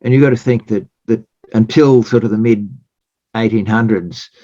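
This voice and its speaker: An Australian man answers interview questions in a low voice, measured and hesitant, filling his pauses with uhs. The sound is dry and close, with a muffled, lo-fi quality. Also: dark tone, dry recording. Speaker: guest. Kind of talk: casual interview chat.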